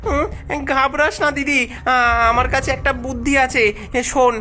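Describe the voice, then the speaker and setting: A woman voicing a plucky, clever young boy in a cartoon fairy tale, speaking in an eager, halting, reassuring way. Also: high-pitched, level tone, natural voice. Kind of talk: animated fairy-tale voice acting.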